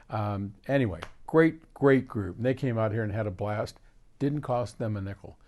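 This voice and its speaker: An older American man hosting a casual question-and-answer talk, rambling through explanations and anecdotes with frequent digressions. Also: fairly low pitch. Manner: animated, digressive storyteller who quotes conversations. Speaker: host answering audience questions.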